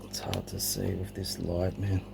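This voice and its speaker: A man's voice: low voice, very animated, unhurried. A middle-aged man giving a casual, unscripted show-and-tell of his collection, a little hesitant, on an amateur camera recording. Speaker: collector presenting a cassette collection. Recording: clearly noisy amateur home recording.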